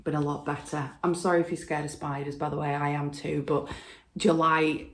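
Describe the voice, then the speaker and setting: A woman hosting a casual stitching video from home, chatting in a rambling way with lots of fillers, and sounding hoarse from a cold. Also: sits low, little pitch movement, moderate pace, a little hiss or hum. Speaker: flosstube host. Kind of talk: casual chat to camera.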